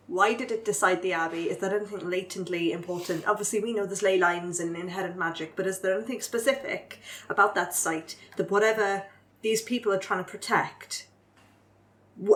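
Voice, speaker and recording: A woman's voice: medium pitch, lightly acted. A woman playing a curious, forthright winged forest-dweller in improvised roleplay, questioning and matter-of-fact.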